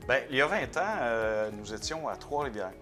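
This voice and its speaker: A man's voice: medium pitch, animated, normal speed, music in the background. Matter-of-fact Québécois businessman. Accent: Québécois French. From Quebec. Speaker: company president, interview guest. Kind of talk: casual interview.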